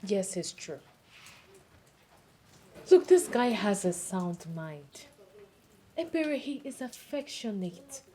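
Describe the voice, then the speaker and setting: A woman's voice: mid-range voice, sing-song, slow pace, pauses often, dark tone, a little hiss or hum. A woman playing a defiant, plain-spoken young village woman with a Nigerian accent in a film drama, speaking emphatically and indignantly. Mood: defensive, earnest. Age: young adult. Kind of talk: naturalistic film drama dialogue.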